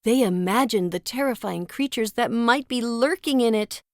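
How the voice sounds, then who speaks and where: A woman narrating a read-along children's storybook with expressive, dramatic storytelling. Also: fairly high pitch, normal speed, no room sound, studio quality.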